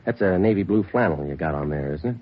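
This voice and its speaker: Deep, deadpan, relentless police detective. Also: male voice, quick pace. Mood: cool, deadpan. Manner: clipped, deadpan, methodical questioning. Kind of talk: 1950s radio police procedural acting. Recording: dull lo-fi vintage radio recording.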